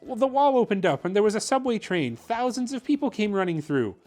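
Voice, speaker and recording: Young storybook boy, voiced by a man: earnest, plucky, matter-of-fact, raised pitch, music behind. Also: big pitch swings, fairly quick, a little mellow. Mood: explaining. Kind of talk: children's storybook character reading.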